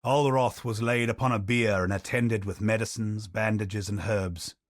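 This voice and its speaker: Man doing a solemn game chronicler, low voice, grave measured telling, dry studio sound. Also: steady tone, no echo, natural voice. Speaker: game character narrator. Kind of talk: scripted lore narration.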